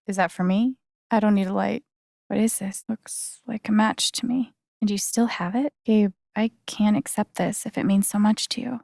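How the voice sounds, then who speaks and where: A woman's voice: medium pitch, steady tone, normal speed, vocal fry, darkish tone, no room sound, studio quality. A woman voice acting an earnest, caring young heroine in a video game, with casual, hesitant, naturalistic delivery. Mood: hesitant, touched. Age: young adult. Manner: casual, hesitant, conversational phrasing.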